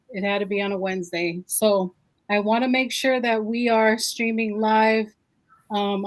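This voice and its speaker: A woman with a higher voice hosts a live-stream interview. She talks in a friendly, chatty way and fills pauses often. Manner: friendly, chatty with many fillers. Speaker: host.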